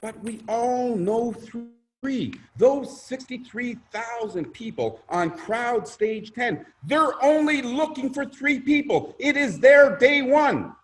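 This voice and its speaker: High-pitched man giving an emphatic sales pitch. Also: expressive, slow pace, few pauses, a bit bright, soft background music, low-quality recording. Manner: emphatic, theatrical, with rhetorical questions and repetition. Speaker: presenter pitching a crowdfunding scheme. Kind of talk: motivational sales presentation.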